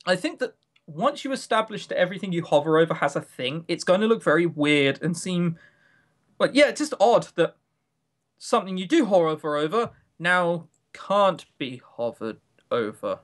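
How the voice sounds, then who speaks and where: British man with swooping, animated pitch. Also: moderate pace. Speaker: podcast co-host. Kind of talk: casual chat about visual novels.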